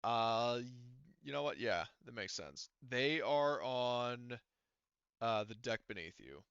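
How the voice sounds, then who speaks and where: A man's voice: mid-range voice, expressive, takes it slowly, fairly bright tone, clear, resonant tone, dry recording, highs cut off. A man running a tabletop roleplaying game as game master, explaining things casually and thinking aloud as he goes.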